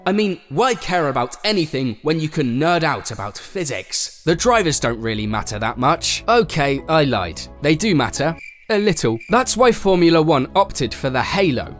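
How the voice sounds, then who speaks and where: Male voice-over narrator, slightly high and very animated, dry sarcastic wit, soft music bed. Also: moderate pace. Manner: sarcastic, playful asides. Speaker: narrator of a motorsport explainer channel. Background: faint background music.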